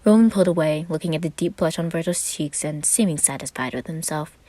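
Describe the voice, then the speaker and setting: Low-voiced woman narrating a story. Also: brisk, mellow, no echo. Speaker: podfic narrator. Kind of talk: expressive scripted story narration.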